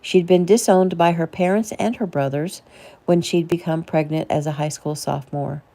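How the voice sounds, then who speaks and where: A woman's voice: on the low side, moderate pace, dark tone. A woman reading her own novel aloud as a casual audiobook narration.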